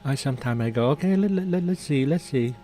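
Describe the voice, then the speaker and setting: A man's voice: medium pitch, lively intonation, fast, warm tone, faint music behind. A man with doing casual live trading commentary, chatty and jokey, often repeating his phrases. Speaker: day trader hosting a live trading stream.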